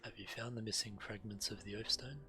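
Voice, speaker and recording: A man with a very low voice reads quest text aloud as a game streamer. Game music plays clearly behind him. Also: fairly even intonation, brisk, pushed and bright, rough recording.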